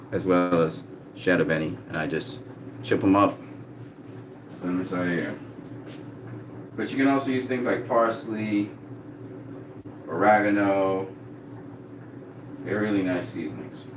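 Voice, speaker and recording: A man from Trinidad chatting casually on a livestream, reading out viewer comments in a relaxed way. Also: sits low, noisy background. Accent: slight American-sounding Trinidadian. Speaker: vlogger.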